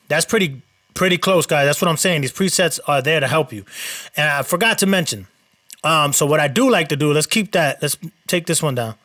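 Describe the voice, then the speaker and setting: A man's voice: on the high side, dry recording. A man with a nasal voice, hosting a casual studio tutorial on mixing. He talks loosely and rambles a little, with plenty of fillers. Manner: relaxed, rambling explanations with fillers. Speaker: mixing engineer and channel host. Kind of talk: casual screen-share tutorial.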